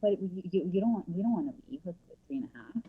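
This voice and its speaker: A woman with a notably low voice talks casually as a guest, full of ums and restarts. She is joining over headphones on a remote call, and the audio is dull and lo-fi. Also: expressive, fast, slightly rough. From Brazil. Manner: chatty, many fillers and restarts. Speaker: real estate agent, guest.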